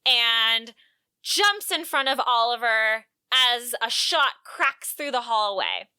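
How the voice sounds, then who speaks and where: A woman co-hosting a podcast, recapping a story conversationally with animated delivery and wry asides. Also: on the high side, takes it slowly, clean tone, dry recording. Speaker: podcast co-host.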